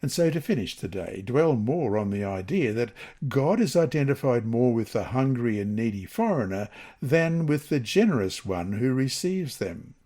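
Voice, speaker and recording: A man from Queensland, reading a Bible study lesson aloud in a measured way and slowing for quoted scripture. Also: mid-range voice, sing-song, dark tone. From Queensland, Australia.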